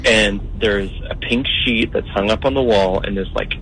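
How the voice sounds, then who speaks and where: A man's voice: lowish voice, normal speed, fairly bright tone, a little hiss or hum, low-quality recording. A young man calling in to a radio show, telling his story in a hesitant, rambling way full of fillers. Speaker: caller.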